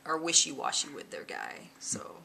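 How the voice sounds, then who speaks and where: Woman bass player chatting at home, kinda gravelly, bright voice, lots of "you know"s, faint hiss behind. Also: normal speed. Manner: lively, chatty, laughs often, many fillers. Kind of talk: casual interview chat. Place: living room at home. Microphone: camera in front, close framing.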